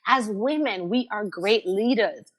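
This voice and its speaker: A woman's voice: mid-range voice, a little slow. A middle-aged woman, speaking as an interview guest in an emphatic, conversational way, repeating phrases for stress. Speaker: podcast guest, radio host.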